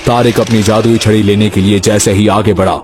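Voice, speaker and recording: A man's voice: on the low side, flat delivery, fairly quick, noisy background. A man telling a horror story as a dramatic first-person narrator. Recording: loud music behind.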